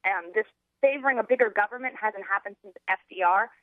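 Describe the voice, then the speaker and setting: A young American woman giving earnest political talk as an activist guest, halting and restarting as she goes. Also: mid-range voice, no room sound. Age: young adult. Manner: rambling, halting with false starts. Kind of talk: earnest political talk, partly reading out a platform. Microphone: telephone. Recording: narrow tinny phone line.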